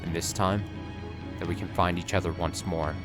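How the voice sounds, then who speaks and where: A man's voice: low voice, steady tone, moderate pace, music in the background. A man voicing a tormented young knight, speaking in a solemn, tender way that sometimes turns shaky. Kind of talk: dramatic reading of a story.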